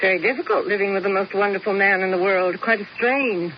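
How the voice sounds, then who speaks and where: A woman's voice: medium pitch, fairly quick, natural voice. An actress playing a cool, sardonic older woman who talks in a dry, ironic drawl, in a 1970s radio drama. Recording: dull old radio broadcast with hiss.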